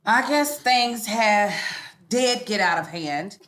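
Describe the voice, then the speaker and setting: An American woman playing a strong-willed, affluent suburban mother and widow in a live comedy script reading, frank and expressive. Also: mid-range voice, slow pace. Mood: conciliatory.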